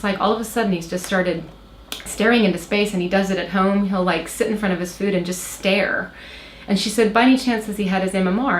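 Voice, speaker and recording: A woman in her thirties from Oklahoma, American with a slight Irish lilt, telling a personal story hesitantly, with many fillers and restarts. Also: mid-range voice. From Oklahoma, USA. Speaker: interview guest. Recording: roomy echo, faint background noise.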